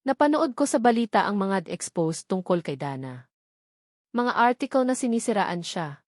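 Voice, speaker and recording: A woman's voice: medium pitch, sing-song, normal speed, darkish tone, dry recording, clean studio sound. A woman reading scripted first-person narration of a dramatic story.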